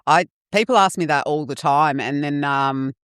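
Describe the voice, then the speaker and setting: A woman's voice: low voice, normal speed, a bit bright, clean tone, no echo, studio quality. A middle-aged woman, born in Fiji and raised in New Zealand, who first spoke Fijian, frankly and casually telling her life story as a podcast guest. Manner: frank, laid-back storytelling with swearing. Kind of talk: casual interview chat.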